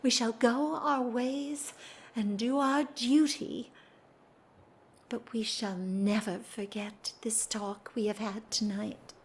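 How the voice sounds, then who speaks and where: A woman's voice: on the high side, fairly even intonation. An actress playing a dignified married society woman in love, speaking formally and earnestly in a period audio drama. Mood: tender, proud. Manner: formal, earnest, theatrical, measured delivery.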